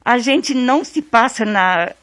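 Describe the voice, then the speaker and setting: Uma mulher do sul do Brasil relembrando o irmão numa entrevista, falando com calma e carinho. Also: female voice, on the high side, a little slow, no echo. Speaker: interviewee, sister. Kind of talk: interview recollection.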